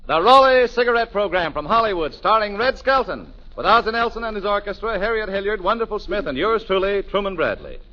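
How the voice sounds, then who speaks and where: A man announcing a 1940s radio show with a polished, booming delivery. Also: lively intonation, a little fast, few pauses, noisy background. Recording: dull old radio broadcast.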